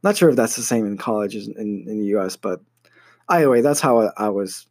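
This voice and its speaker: Man, American accent, young adult, low pitch, hesitant casual storytelling, dry close mic. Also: lively intonation, brisk, a little mellow, no echo. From United States. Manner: hesitant, many fillers and restarts. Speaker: university student. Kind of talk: casual personal story to camera.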